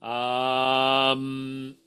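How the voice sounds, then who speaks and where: A man explaining things as game master at a tabletop game, in a halting, explanatory way. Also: medium pitch, completely flat delivery, bright, forceful tone, clear, resonant tone.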